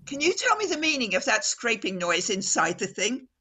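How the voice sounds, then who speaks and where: Actress as a female radio news reporter, slightly husky, formal live commentary, dramatic pauses, awestruck radio drama delivery. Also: on the high side, big pitch swings, pushed and bright, exaggerated voice. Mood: urgent, observant. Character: woman radio reporter, breathless and awestruck at a scene.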